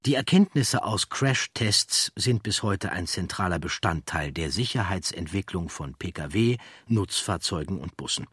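A man reading scripted documentary narration in a measured, factual way. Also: low-pitched, lively intonation, fairly bright tone, slightly rough, dry recording, clean studio sound.

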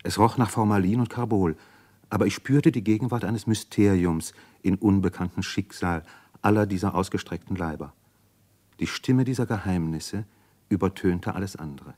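An actor playing a thoughtful young medical student and poet, speaking in a reflective, earnest radio drama monologue. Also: male voice, deep voice, moderate pace, dark tone.

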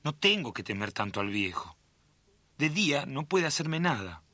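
Anxious, bright-voiced Argentine son. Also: male voice, mid-range voice, very animated, moderate pace, no echo. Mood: anxious brooding. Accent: Argentine, Rioplatense. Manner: brooding, earnest. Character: anxious, self-doubting son of a widow. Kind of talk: radio drama monologue and dialogue.

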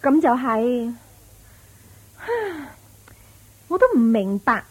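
A woman's voice: on the high side, lively intonation, moderate pace, husky, highs cut off. A woman playing an eager, anxious poor village woman in a radio drama, pleading and exclaiming with a Hong Kong Cantonese accent. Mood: exasperated.

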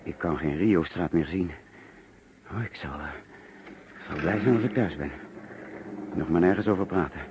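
An anxious middle-class businessman in a radio drama, with a very low voice and a Netherlands Dutch accent. He is hesitant and mutters to himself. The old recording is muffled, with noise behind him. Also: normal speed, pauses often. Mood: queasy, nervous. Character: anxious middle-class businessman recounting finding a murdered man. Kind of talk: radio play acting with first-person narration.

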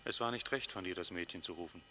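A conscience-stricken companion in a radio play, over faint noise. The delivery is quick, bright and tense, with earnest reproach in clipped phrases. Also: male voice, low-pitched, full character voice. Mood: reproachful. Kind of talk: German radio play acting.

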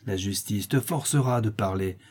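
Man acting a furious, rough peasant widow, husky. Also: fairly low pitch, moderate pace, a little mellow. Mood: bitterly ironic, threatening. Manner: coarse, accusing, exclamatory. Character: rough, bitter, furious forty-year-old peasant milkmaid widow.

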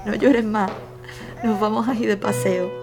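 A woman's voice: medium pitch, fairly even intonation, unhurried, natural voice. A woman with a Spanish accent playing a tender, anguished mother fleeing war, crying as she soothes in an audio drama. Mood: tearful, soothing. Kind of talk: emotional audio drama acting. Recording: loud music over the voice.

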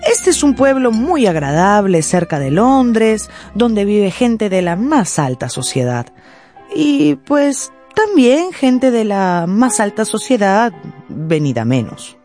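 Lively, wry genteel storyteller. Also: female voice, medium pitch, slow, faint music behind. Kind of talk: radio drama narration.

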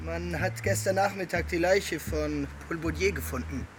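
Man playing a high-pitched, biting police inspector. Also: moderate pace, noisy background, lightly acted. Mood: grim, blunt. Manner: formal, biting, reproachful. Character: indignant, sarcastic male police inspector. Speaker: actor in a radio crime drama. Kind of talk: radio drama acting.

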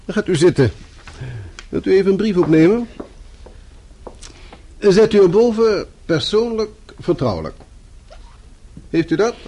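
A man's voice: high voice, very animated, unhurried, pauses often, dark tone, full character voice. A man acting in a radio play as a stern, middle-aged boss who speaks formally with dry sarcasm. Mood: curt, stern. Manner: formal, clipped, dryly sarcastic. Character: stern, sardonic middle-aged male boss, fatherly and disapproving.

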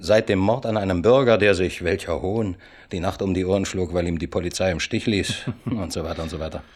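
Gruff senior detective, very low voice, terse and commanding, sardonic edge, radio drama. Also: male voice, brisk, a little mellow. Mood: frustrated, sardonic. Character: gruff, pressured male chief police inspector leading murder inquiry. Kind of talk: German radio crime play dialogue.

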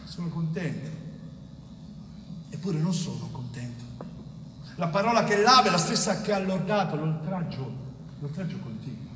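A man's voice: on the high side, big pitch swings, moderate pace, long pauses, noisy background. An actor playing a wronged innocent man in middle age in an intense stage monologue, bitter and weary, repeating phrases like an incantation. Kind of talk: dramatic theatre monologue. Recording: echoey theatre hall, distant mic, music.

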